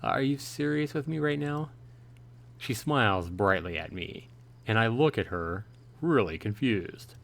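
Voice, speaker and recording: Male game streamer, lower-pitched voice, casual read-aloud of story dialogue with off-the-cuff reactions. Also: lively intonation, a little slow, creaky. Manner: reads the dialogue aloud, then paraphrases and reacts. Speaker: streamer, host of a live play-through. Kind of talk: reading a visual novel aloud with casual reactions and commentary.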